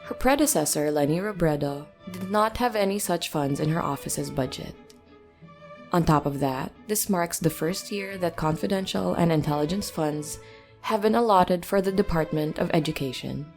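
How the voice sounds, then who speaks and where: A woman presenting a scripted news commentary segment on a podcast in a measured, articulate reading. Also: lowish voice, a little mellow, soft background music.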